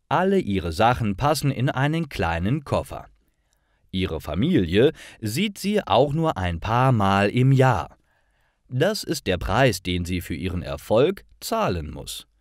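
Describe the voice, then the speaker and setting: Deep-voiced man reading a story slowly, lively intonation. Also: no echo, clean studio sound. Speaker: narrator of stories for German learners. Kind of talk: scripted slow storytelling narration for language learners.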